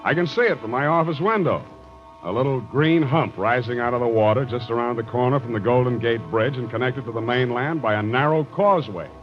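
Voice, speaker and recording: A man's voice: medium pitch, brisk, natural voice. Wry hard-boiled detective played by a man, laconic wisecracker, muffled 1940s radio drama with music behind. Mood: wry, narrating. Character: wry hard-boiled male private detective, smitten, unruffled. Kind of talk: 1940s radio detective acting, narration and dialogue.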